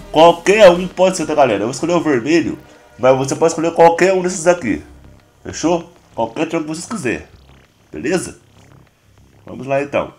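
Hoarse Brazilian man with stuffy nose. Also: mid-range voice. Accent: Brazilian Portuguese. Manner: relaxed, chatty, constant filler address to viewers. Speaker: gaming YouTuber. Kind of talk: casual gameplay tutorial.

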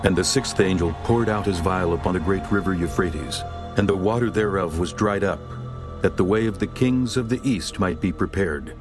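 A man narrating scripture in a slow, solemn, stately reading over music. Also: sits low.

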